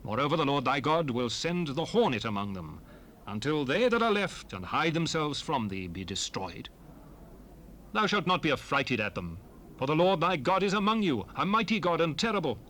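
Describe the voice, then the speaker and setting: An actor playing a solemn, commanding prophet who exhorts his people in measured, oratorical scripture recitation for an audio drama. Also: fairly high pitch, big pitch swings, fairly bright tone. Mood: stern, then commanding, fervent. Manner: solemn, oratorical, measured phrasing with emphatic rises and falls. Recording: noisy unprocessed 1970s cassette rip.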